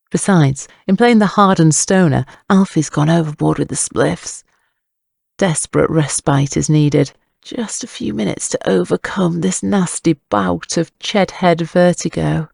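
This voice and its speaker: A male narrator tells a story in a wry, scripted style. His voice is mellow and soft-edged with a gravelly fry, recorded very dry. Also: on the high side, dry recording.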